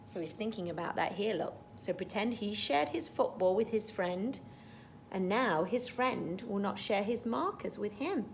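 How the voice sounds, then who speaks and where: A woman's voice: mid-range voice, expressive, a little slow, slight background noise. A woman teacher reading a storybook to young children, stopping to explain things in simple words. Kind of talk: storybook read-aloud with explanatory asides.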